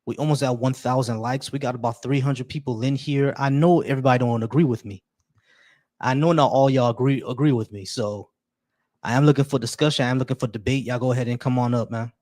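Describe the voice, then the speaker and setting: A man chats loosely on a live stream, welcoming viewers and trailing into little restarts. His voice sits at an average pitch for a man. The tone stays casual and unhurried. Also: fairly even intonation. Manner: laid-back, shout-outs to viewers, many restarts. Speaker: livestream host.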